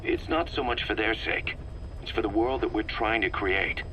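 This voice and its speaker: A male actor voicing a stern, pragmatic military subcommander in a video game, speaking in a brisk, matter-of-fact, clipped way on a taped briefing. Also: lowish voice, sharp tone, rough recording. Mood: firm, instructive. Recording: cassette recording with background noise.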